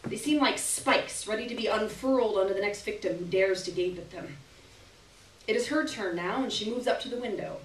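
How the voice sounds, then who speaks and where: A young adult woman performing a short story aloud in a live, expressive literary reading with deliberate pauses. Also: medium pitch. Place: small gallery room, live stage reading. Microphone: stand microphone, recorded by distant camera. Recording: roomy, distant camera audio with hiss.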